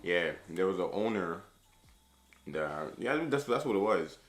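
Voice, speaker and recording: A man's voice: sits low, very animated, measured pace. A young man from Queens, vlogging in a casual, rambling way that is full of "you know" and "like". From Queens, New York. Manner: laid-back, rambling, lots of "you know" and "like". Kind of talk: casual talk to camera.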